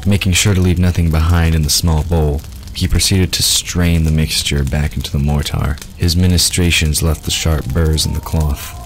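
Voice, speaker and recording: A man's voice: a bass voice, level tone, moderate pace, few pauses, a little hiss or hum. A man reading scripted fiction as the narrator of an audio drama.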